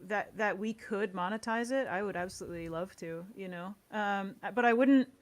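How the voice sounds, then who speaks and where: A woman's voice: mid-range voice, normal speed. A woman speaking informally and earnestly as a guest in a casual interview. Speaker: guest, audio series co-creator.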